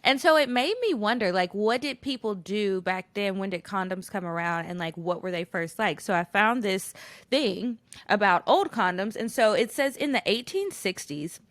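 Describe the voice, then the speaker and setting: Bright, forward American woman podcasting. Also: mid-range voice, animated, normal speed, no room sound. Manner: candid, slangy, girlfriend-to-girlfriend delivery. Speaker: podcast co-host. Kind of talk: casual, chatty podcast talk.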